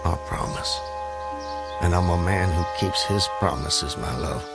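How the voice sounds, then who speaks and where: A proud Southern gentleman in a radio drama, his voice low and grave. He speaks in measured, formal phrases like a man of firm principle, with strong music underneath. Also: gravelly. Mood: firm, tender. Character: proud, resolute Southern gentleman planter, haunted Civil War rebel. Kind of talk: radio drama acting, narrating and dialogue.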